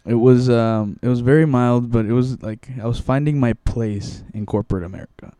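A man's voice: mid-range voice, normal speed, mellow, clear, resonant tone, no room sound. A young man from Mexico, telling his story in a casual interview, rambling with many restarts and fillers. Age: young adult.

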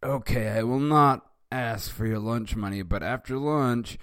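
A man's voice: medium pitch, very animated, slow, clean tone, dry recording, clean studio sound, voice pushed up. A man voicing a boy bully who threatens a classmate for lunch money. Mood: threatening.

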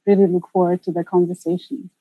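A woman's voice: fairly low pitch, flat delivery, fast, breathy edge, no echo, phone-quality sound. A woman from Ethiopia, director of a minerals development centre, answering interview questions in an earnest, reflective way with some fillers.

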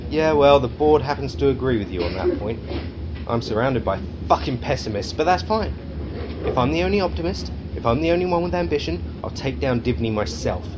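An actor playing a crude, megalomaniac British arms company boss in a satirical audio drama, domineering, sarcastic and sweary. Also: male voice, mid-range voice, animated, brisk, lo-fi sound, noisy background, lightly acted. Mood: bitter, ranting.

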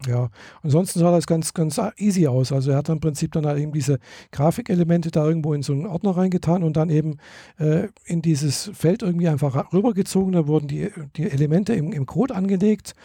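A man's voice: fairly high pitch, fast, warm tone, breathy edge, dry recording, clean studio sound. A man, chatting casually and hesitantly, with many fillers and restarts. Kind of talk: casual chat.

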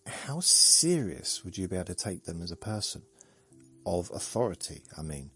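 A man hosting a sleep podcast, talking slowly in a meandering, deadpan, joking way with faint music behind. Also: low-pitched, husky. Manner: slow, meandering, deadpan humor. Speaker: podcast host. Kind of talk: rambling sleepy monologue.

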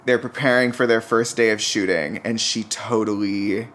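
A man's voice: fairly low pitch, steady tone, moderate pace, a bit bright. A man in casual, informal chat, speaking emphatically and often tagging his remarks with "like" and "right?". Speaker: podcast guest reviewing TV pilots.

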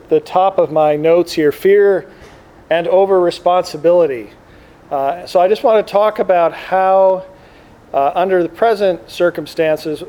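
A man giving an informal religious talk to a gathering as a priest, reflective and halting, speaking up to be heard. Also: high voice, dark tone. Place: indoor room with air conditioning. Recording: clear background air conditioner noise. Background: air conditioner noise.

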